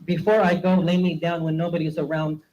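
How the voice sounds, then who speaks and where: A man's voice: fairly high pitch, level tone, quick pace, a little mellow, highs cut off, slight background noise. A man performing a spoken word poem at an open mic, with an intimate delivery built on repeated refrains.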